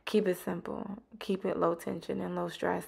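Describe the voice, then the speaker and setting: Low raspy young woman, chatty. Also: normal speed. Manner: relaxed, chatty, peppered with ums. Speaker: hair tutorial creator. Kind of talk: casual how-to narration. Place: home bathroom, indoors.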